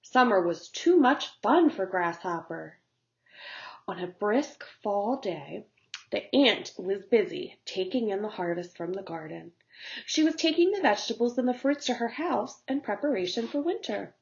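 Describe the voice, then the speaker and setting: Slow-paced woman reading to young children. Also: medium pitch, lively intonation, vocal fry, highs cut off. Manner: simple, deliberate phrasing for children. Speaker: teacher reading a story. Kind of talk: storytelling narration for young children.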